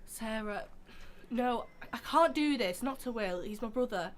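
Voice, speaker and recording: Woman as high-voiced, guilt-ridden sister. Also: expressive, unhurried. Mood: guilty, upset. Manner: pleading, emphatic. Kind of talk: dramatic audio scene acting. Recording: clear background noise.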